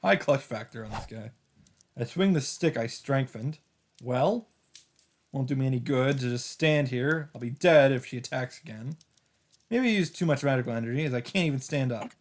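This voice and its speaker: A man's voice: mid-range voice, expressive, normal speed. A man hosting a let's play, reading a visual novel's first-person narration aloud with occasional asides of his own. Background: game music.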